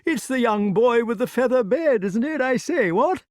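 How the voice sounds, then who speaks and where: A man's voice: very high voice, animated, moderate pace, no echo, studio quality, voice pushed up. A man voicing an amiable, dithering old knight king in an audiobook, with flustered, blustering, exclamatory upper-class chatter. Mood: eager, hearty. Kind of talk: audiobook character voice.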